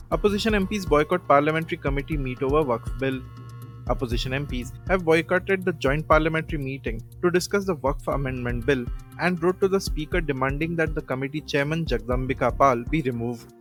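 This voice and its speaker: Indian man reading news over music. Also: medium pitch. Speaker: news presenter.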